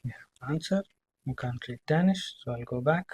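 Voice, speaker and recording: A man demonstrating software in a live screen-share walkthrough, speaking haltingly, repeating himself and often checking in with a short tag question. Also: medium pitch, breathy edge. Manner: halting, repetitive, checking in with "right?".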